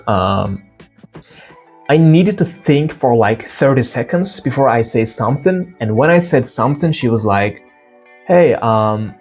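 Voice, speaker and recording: A man's voice: mid-range voice, a little mellow. A young man from Turkey with a Turkish accent and Turkish as his first language, hosting an English learning channel and talking earnestly to camera, acting out stories with quoted dialogue. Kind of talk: direct-to-camera motivational talk.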